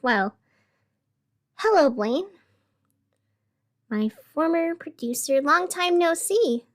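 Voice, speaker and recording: An actress playing a wry, wounded young radio host in a scripted audio drama, sardonic and theatrical with deliberate pauses. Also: female voice, high voice, lively intonation. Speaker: fictional radio show host.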